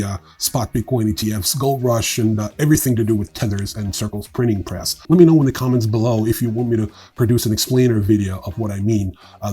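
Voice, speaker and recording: Low-voiced, husky man hosting crypto news. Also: warm tone. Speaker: host of a crypto news show. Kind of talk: informal scripted news commentary.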